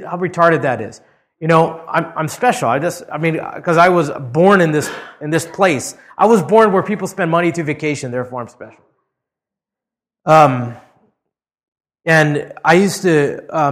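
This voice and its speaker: A man's voice: on the high side, moderate pace. A middle-aged man from Hawaii, preaching a sermon. He reads scripture aloud with rising emphasis, then talks conversationally with some fillers. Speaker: pastor. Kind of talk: expository sermon.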